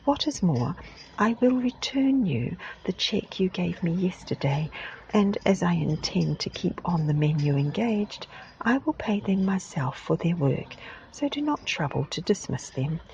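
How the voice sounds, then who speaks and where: A woman's voice: fairly low pitch, very animated, gravelly, darkish tone, muffled recording, a little hiss or hum, exaggerated voice. A woman whispering the lines of a firm, determined middle-aged farmer in a storybook reading. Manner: whispered, measured.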